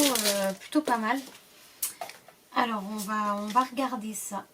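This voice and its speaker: Woman, stuffy from a cold, chatty, hesitant, reads card names aloud, distant mic, faint background noise. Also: on the high side. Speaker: Pokémon card collector opening packs. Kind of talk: casual pack-opening commentary.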